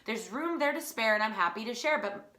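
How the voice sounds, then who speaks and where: A woman reading a storybook plays a gentle, big-hearted moose, polite and eager to please. The voice is bright and sits fairly high, with an echoey room around it. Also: animated, a little fast. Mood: obliging. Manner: polite, obliging.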